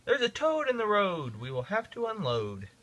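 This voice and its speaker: An alarmed male bus driver calling out in a loud, urgent way, as a man reads him aloud. The voice is pushed high and jumps about. It is a children's storybook character. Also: huge pitch swings, moderate pace, a little hiss or hum, exaggerated voice.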